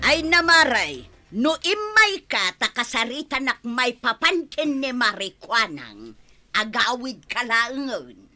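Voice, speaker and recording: A woman's voice: a little high, sing-song, slow pace, pushed and bright, soft background music, full character voice. A woman playing a haughty, irritable Ilocano village woman in a Filipino comedy drama, snappish and dismissive. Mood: dismissive, annoyed. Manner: dismissive, snappish, whining outbursts. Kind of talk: broad comedy drama acting.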